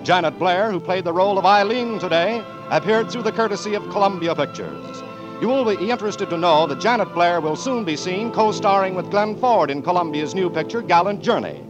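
A man's voice: sits high, expressive, a little fast, muffled recording. An American man making scripted 1940s radio announcements in a formal, emphatic manner. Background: music.

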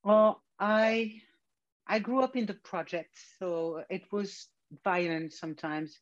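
A woman, giving brief, soft-spoken answers as an interview guest. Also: medium pitch, fairly even intonation, slow. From France. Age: 60s. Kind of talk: personal interview. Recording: dull lo-fi audio.

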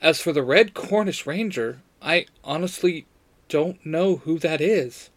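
A man reads as a mystical woman, keeper of time, in a superhero audio story. The delivery is guarded and plain, at a fairly high male pitch. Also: expressive, a little slow, dry recording. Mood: puzzled, guarded.

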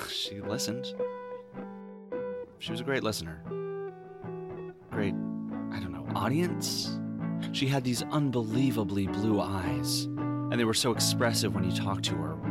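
An actor playing a weary, smitten, hard-boiled detective in a noir audio drama, speaking with an American accent in a wry, informal, halting way. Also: male voice, low voice. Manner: wry, informal, halting, rough-edged street talk. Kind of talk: noir audio drama, first-person narration and dialogue.

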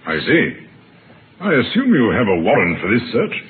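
Imperious colonel, lowish voice, swinging intonation, stiff, evasive, vintage radio villainy. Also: male voice, huge pitch swings, moderate pace, slight background noise. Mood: indignant. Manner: stiff, imperious, flustered when challenged. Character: haughty, evasive male colonel under suspicion. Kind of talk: old-time radio drama acting. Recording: tinny old radio recording.

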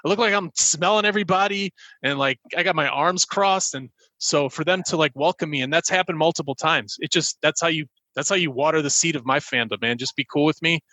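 A man's voice: on the high side, a little fast, hardly any pauses, pushed and bright, no room sound, poor audio. A man co-hosting a podcast, chatting casually in a rambling, self-deprecating way. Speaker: podcast co-host. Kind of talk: casual chat.

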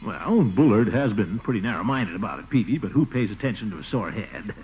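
Blustering, boastful middle-aged schemer, vintage radio comedy, very animated intonation, thin scratchy sound. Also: male voice, fairly low pitch, fairly quick, slightly rough, phone-quality sound. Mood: evasive bluster. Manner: blustering, self-satisfied, chuckling often. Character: pompous, boastful, flustered middle-aged small-town schemer. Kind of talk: 1940s radio comedy acting. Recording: scratchy, band-limited 1940s radio broadcast.

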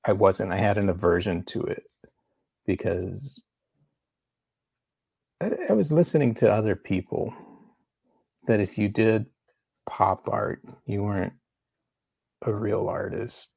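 A man talking as a guest artist in a relaxed chat, pausing often and restarting his sentences. His low voice is husky and gravelly. The recording is dry and close. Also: dry recording. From United States. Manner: hesitant, halting, restarts often. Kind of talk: casual conversation.

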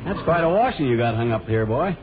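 An actor playing a good-natured but easily exasperated husband in a vintage radio comedy. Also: male voice, medium pitch, animated, fast talker, a loud crowd, lightly acted. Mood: amused, chatty. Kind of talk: 1950s radio comedy acting. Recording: tinny, very noisy old radio, loud audience.

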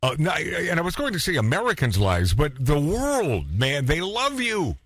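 Animated man hosting a radio interview. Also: a little high, dry recording. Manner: joking, mock-stern, halting with repeated phrases. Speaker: radio show host interviewing a guest. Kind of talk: casual, bantering radio interview.

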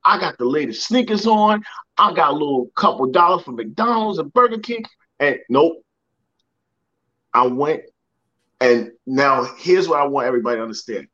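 A young man telling a story with a lively voice that rises and falls a lot, sitting a little high for a man. He acts out the people he quotes and repeats words as he goes. The sound is dull and lo-fi. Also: normal speed. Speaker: guest, motivational speaker. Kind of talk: storytelling in a podcast interview.

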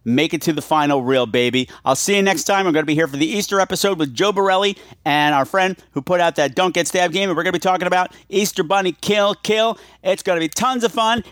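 Higher-voiced man hosting a podcast, dry sound. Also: a bit bright, no room sound. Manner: rambling, self-deprecating, emphatic repetition. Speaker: podcast host. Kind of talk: casual, confessional solo podcast monologue.

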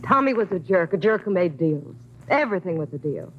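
Boozy noir woman, languid n mocking, pitch all over, faintly noisy old radio drama. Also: very animated, a little fast. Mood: guarded, bitter. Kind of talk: 1950s radio crime drama acting. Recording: muffled vintage radio recording, faint noise.

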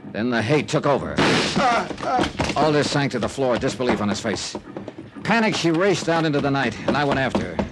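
An actor playing a wry, hard-boiled insurance investigator in a 1950s radio detective drama. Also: male voice, mid-range voice, animated, brisk, heavy background noise, lightly acted. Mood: tense, terse. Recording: vintage 1950s radio recording.

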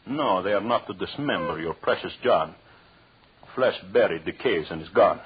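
A gloating, sinister low-voiced jealous husband. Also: male voice, animated, moderate pace, husky, dry recording, exaggerated voice. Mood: coldly vengeful. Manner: theatrical, gloating, sinister, formal phrasing. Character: vengeful jealous husband, gloating mad killer with surgical knives. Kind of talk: 1930s radio horror acting. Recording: muffled, hissy 1930s radio recording.

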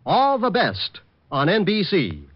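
A man's voice: high voice, wildly swooping pitch, slow pace, dry recording. A man delivering scripted announcements in a polished, promotional 1940s radio style. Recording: dull old radio recording.

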